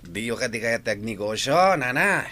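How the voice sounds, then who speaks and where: A man's voice: medium pitch, animated, normal speed, bright, forceful tone. A man with an Ilocano accent playing a sly, fawning henchman in an audio drama. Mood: pushy, wheedling. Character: sly, fawning male henchman eager for money. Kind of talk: scripted audio drama acting.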